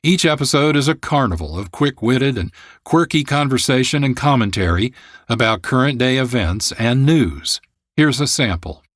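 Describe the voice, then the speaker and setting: A male radio host reads scripted introductions in a dry, close sound. His pitch is mid-range and his delivery measured and articulate. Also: expressive, dry recording. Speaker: producer and host.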